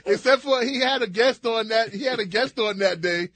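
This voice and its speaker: A man's voice: very high-pitched, normal speed, fairly bright tone, dry recording. A man bantering casually as a radio sidekick, exclamatory and incredulous, repeating himself for emphasis.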